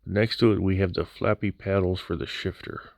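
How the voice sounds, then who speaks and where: Low-voiced male hobbyist, laid-back and wry, voice slightly worn, dry close-mic voice-over. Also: level tone, normal speed, vocal fry, darkish tone, no echo. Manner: casual, dry humor. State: recovering from near voice loss. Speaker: scale model builder. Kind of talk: voice-over unboxing walkthrough.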